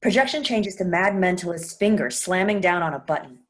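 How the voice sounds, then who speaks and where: A woman reading the stage directions for a staged play reading over a video call, in an even, clear reading style. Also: on the low side, fairly quick.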